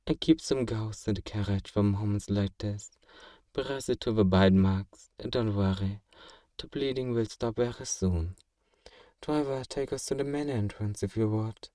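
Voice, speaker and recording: A man playing a kind, possessive vampire master in an intimate ASMR roleplay, speaking in a gentle, genteel, coaxing and doting way. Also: lowish voice, no echo, natural voice. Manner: soothing, coaxing, politely doting.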